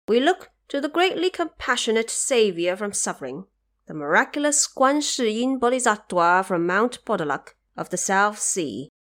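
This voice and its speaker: A woman narrating a scripted audio drama as a storyteller, in a measured delivery. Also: mid-range voice.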